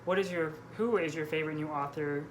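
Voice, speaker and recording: A woman's voice: low voice, fast talker. A woman hosting a casual book vlog, chatting informally and peppering her sentences with "like". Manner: frequent "like" as filler. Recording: noisy room background.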